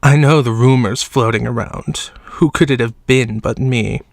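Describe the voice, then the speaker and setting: An actor playing a sickly, frail man who seems ancient, coughing and speaking with slow, quiet menace. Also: medium pitch, no room sound, studio quality, close to an everyday voice. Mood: quietly menacing. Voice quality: coughing, weak, breathy. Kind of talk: audio drama acting.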